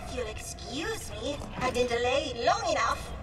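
A woman's voice: fairly high pitch, expressive, moderate pace, lightly acted. A woman playing a prim, wistful droid in a video game, formal and a little forgetful, with hesitant, fussy phrasing. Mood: flustered. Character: prim, wistful, long-stranded female droid, gently forgetful. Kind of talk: video game character acting. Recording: loud music behind, slightly distant.

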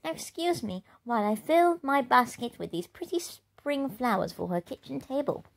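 A woman's voice: high voice, lively intonation, moderate pace, vocal fry, voice pushed up. A woman voicing a polite, timid young male wolf cub in a storybook read-aloud, sweet, eager and prim. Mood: earnest, polite.

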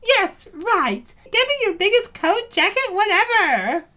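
A flustered young cartoon woman with an extremely high, bright voice. The delivery is exaggerated and stammering, unhurried, and swings about in pitch. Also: big pitch swings, exaggerated voice. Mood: indignant. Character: flustered young women characters in a comic dub. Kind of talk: cartoonish comic dub acting.

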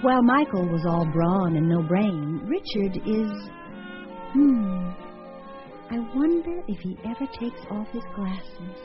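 A woman's voice: mid-range voice, lively intonation, slow, over music. An actress playing a witty, flirtatious, self-dramatizing socialite woman in a radio drama, theatrical and arch. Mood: musing. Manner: arch, theatrical, fond of "darling". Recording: dull lo-fi radio drama audio.

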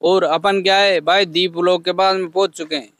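A man's voice: high voice, steady tone, brisk, no room sound. A young man, hosting a casual vlog outdoors and chatting straight to his viewers with slang. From Hadoti region, Rajasthan. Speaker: vlogger host. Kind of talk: casual vlog chat while riding. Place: outdoors on city streets. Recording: loud music playing under the voice. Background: hip hop music.

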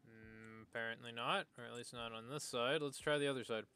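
A man's voice: medium pitch, fairly even intonation, fairly quick, sharp tone, clean tone. A man streaming a video game with casual, laid-back, rambling commentary.

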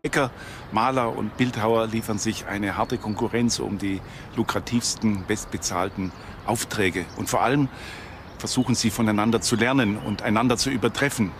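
A man with a somewhat low voice explains his subject as an interviewed documentary expert. Music is clearly heard behind him. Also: steady tone, normal speed.

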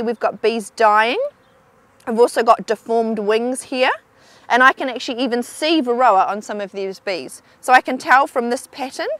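A young woman giving a clear, explanatory beekeeping demonstration outdoors beside hives on a lapel mic. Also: a little high, a bit bright, no room sound. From New Zealand. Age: young adult. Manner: clear explanatory, pointing things out. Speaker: beekeeping researcher. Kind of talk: instructional demonstration.

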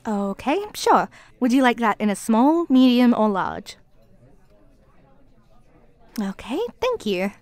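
Woman acting as a flustered clumsy cafe girl, high voice, slowish pace, stammery and apologetic, asmr roleplay. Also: expressive, darkish tone, no room sound. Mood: flustered, polite. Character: flustered, clumsy young female cafe barista. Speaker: audio roleplay voice actor.

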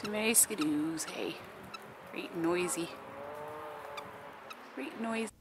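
A woman's voice: on the low side, slow. A woman talking casually and affectionately to wild birds while she films in the woods. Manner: affectionate pet-talk. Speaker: birdwatching vlogger. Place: outdoors in snowy woods. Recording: outdoor camera audio with background noise.

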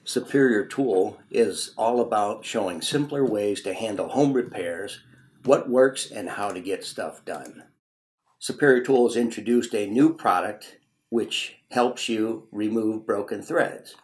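A man's voice: lowish voice, a little slow, darkish tone. A man presenting a product in scripted how-to demonstration narration.

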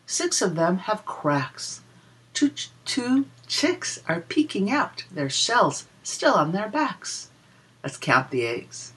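A woman reads a children's counting book aloud, slowly and with lots of swooping pitch. Her voice is a little husky, and she explains things in a deliberate, sing-song teaching manner. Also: sing-song, a bit bright, rough recording. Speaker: children's story reader and teacher. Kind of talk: picture-book read-aloud with counting for preschoolers.

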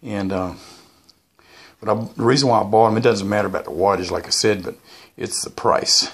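A man's voice: on the low side, a little fast, a bit bright, husky. A man talking casually and unscripted as he shows off gear in a vlog, in a rambling, hesitant way. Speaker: YouTuber presenting his radio antennas. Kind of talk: casual unscripted product show-and-tell.

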